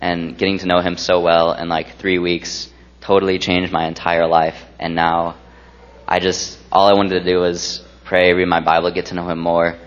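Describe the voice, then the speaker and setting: A man's voice: low voice, level tone, moderate pace, long reverb tail, lo-fi sound. A man giving a fervent, earnest testimony at a church gathering. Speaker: young believer sharing a testimony.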